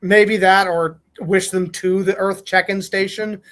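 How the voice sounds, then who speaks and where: A man's voice: high-pitched, flat delivery, a little slow, fairly bright tone. A man hosting a podcast, reading fan fiction aloud and chatting casually. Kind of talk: casual read-aloud and group chat.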